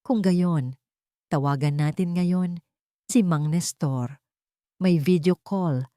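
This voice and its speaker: A woman voicing a calm, cold, confident businessman in an audio drama, speaking in a controlled, deliberate way. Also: fairly low pitch, smooth, no room sound, studio quality. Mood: firm, triumphant. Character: calm, cold, confident wronged businessman confronting his rival.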